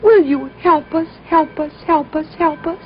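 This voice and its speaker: A woman's voice: high-pitched, unhurried, highs cut off, a little hiss or hum, voice pushed up. A woman voicing a little female toy train in a storybook, pleading earnestly and hopefully for help.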